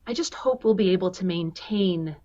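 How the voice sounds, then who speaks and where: A woman's voice: fairly low pitch, normal speed, mellow, muffled recording, natural voice. A woman playing an earnest, unsure heiress in an online table read of a stage musical, recorded over a video call. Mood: unsure, wistful. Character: earnest, unsure adult banker's daughter.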